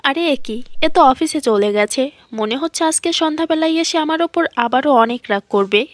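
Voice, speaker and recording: A woman's voice: high voice, expressive, fairly quick, dry recording. A woman voicing a kind, caring aunt in a cartoon fairy tale, speaking earnestly. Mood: worried. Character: kind, caring aunt, gentle wronged wife.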